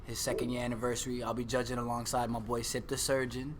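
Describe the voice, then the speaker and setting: A man's voice: medium pitch, little pitch movement, fast, slight background noise. A man, a barber and competition judge, joking and ribbing others in casual banter.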